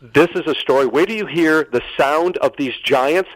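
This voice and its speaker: A man's voice: a little high, normal speed, no room sound, low-quality recording. A middle-aged American man telling dramatic anecdotes as an interview guest, quoting dialogue as he goes. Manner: dramatic storyteller, quotes dialogue.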